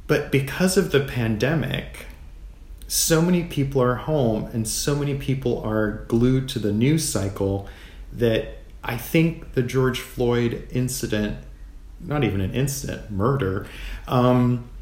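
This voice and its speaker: An American man speaking as an interview guest in a measured, thoughtful way, pausing between short phrases. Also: medium pitch, darkish tone. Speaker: podcast guest, physician. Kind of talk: reflective interview talk. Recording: roomy echo, clear background noise.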